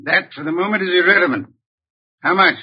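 A muffled vintage radio recording carries an imperious master detective. He is husky and fairly high for a man, issuing curt, pedantic demands in old-time mystery drama style. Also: brisk. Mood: blunt, demanding. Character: brusque, imperious male genius detective boss.

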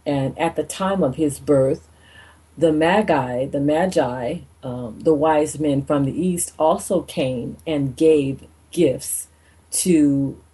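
Slow, husky, low-voiced woman radio host. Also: mellow. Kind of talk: conversational devotional talk.

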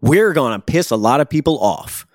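A man co-hosting a casual podcast, speaking earnestly at a middling pitch as he lays out an argument point by point. His voice is dry and close on the mic. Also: expressive, normal speed, a little mellow, dry recording. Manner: earnest, builds arguments, frequent affirmations. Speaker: podcast co-host. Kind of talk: casual pop culture chat.

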